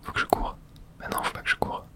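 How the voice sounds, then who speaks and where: Female ASMR streamer, whispered game commentary with casual slang and spoken reactions to play. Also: fast talker.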